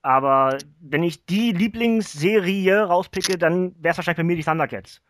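A podcaster, a man with a slightly high voice, chats casually. He rambles and restarts his sentences, and the recording is dry with hardly any room sound. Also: normal speed, a bit bright, dry recording. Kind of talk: casual chat.

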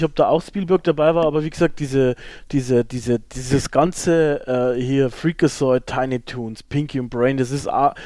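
A man's voice: fairly high pitch, a little mellow, dry recording. Casual man in a dry-sounding space. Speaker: podcast co-host. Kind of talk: casual chat, ranking old cartoons.

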